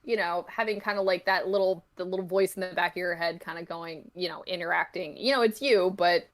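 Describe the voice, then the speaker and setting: A woman's voice: fairly low pitch, a little fast. A woman chatting casually as a podcast guest, explaining her thoughts in a rambling, reflective, tentative way. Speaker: podcast guest, comic creator. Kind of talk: casual interview chat.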